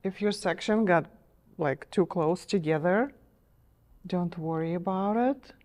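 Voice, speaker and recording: A middle-aged woman guides a painting lesson at an unhurried pace, with a low, mellow voice. She is gentle and encouraging and keeps checking in with her viewers. Her voice is a little worn, as if she is losing it. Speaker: painting instructor. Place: TV studio.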